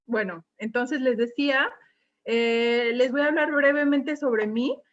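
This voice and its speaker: A middle-aged woman from Mexico, a dive instructor, giving an online talk in a relaxed way with many fillers and pauses. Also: on the high side. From Mexico, Quintana Roo. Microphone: video call. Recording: muffled video call audio.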